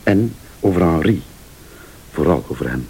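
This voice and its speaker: Low, mellow, insinuating night visitor. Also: male voice, animated, normal speed, breathy edge, exaggerated voice. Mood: grave, confessional. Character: mysterious late-night male visitor, composed, insinuating, quietly menacing. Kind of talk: Flemish radio thriller acting.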